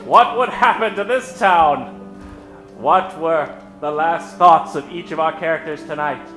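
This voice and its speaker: Male radio-comedy host and narrator: theatrical, high voice, echoey room, music underneath. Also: unhurried, fairly bright tone. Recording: roomy stage recording with music behind.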